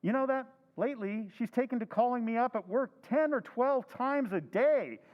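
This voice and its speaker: An actor playing a flustered, excitable henpecked husband in an old-time radio drama recreation. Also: male voice, very high-pitched, normal speed, in a large hall, dull audio.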